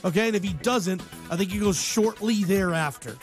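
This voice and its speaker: High-pitched American sports radio guy. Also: moderate pace, soft background music. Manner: conversational, opinionated, slangy, emphatic repetition. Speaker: sports talk radio host. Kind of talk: casual sports radio commentary. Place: radio show.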